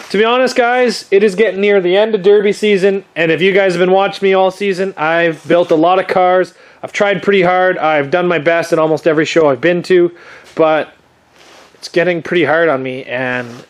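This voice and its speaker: A young man with a noticeably high voice talks casually. He is stuffy and sniffling from a cold. His speech rambles along, full of easygoing fillers. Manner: laid-back, rambling, frequent "uh" fillers. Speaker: demolition derby builder and vlogger. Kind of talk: casual vlog chat. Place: home workshop or garage.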